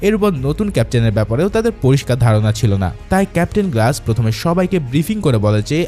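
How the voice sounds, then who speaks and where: A man's voice: medium pitch, sing-song, very fast, warm tone. A man narrating a film's story as a scripted, dramatic recap. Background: background music.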